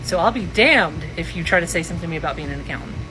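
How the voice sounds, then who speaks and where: A woman telling a casual personal anecdote in a deliberate, deadpan way. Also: lowish voice, low-quality recording. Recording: music behind the voice.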